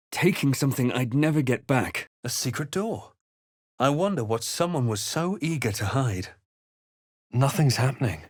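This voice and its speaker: An actor playing a brooding male fantasy adventurer, voicing video game lines in a hushed, deliberate, theatrical way. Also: mid-range voice, no echo, studio quality, lightly acted. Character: brooding male fantasy adventurer narrating his own quest.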